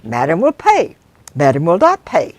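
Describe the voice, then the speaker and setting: Woman doing an anxious high-voiced young wife. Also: very animated, moderate pace, no room sound. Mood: indignant. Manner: pleading, rising to indignant.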